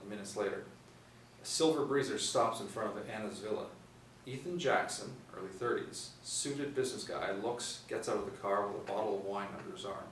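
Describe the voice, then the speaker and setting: A man in his forties narrates a screenplay in a lowish voice. He is recorded from a distance in an echoey room. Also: fairly bright tone. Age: 40s. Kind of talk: scripted narration of screen directions. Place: small indoor room, seated group. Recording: roomy, distant mic with faint noise.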